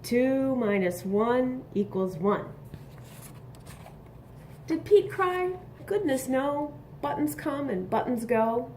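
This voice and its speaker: Woman, children's read-aloud, high voice, slow pace, expressive, teacherly questions, some background noise. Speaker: storybook reader.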